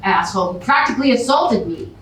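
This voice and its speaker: A woman's voice: mid-range voice, expressive, a little fast, fairly bright tone, lightly acted. A woman playing a scornful, distrustful reality show contestant in a staged comedy reading. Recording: echoey room, distant mic, noisy.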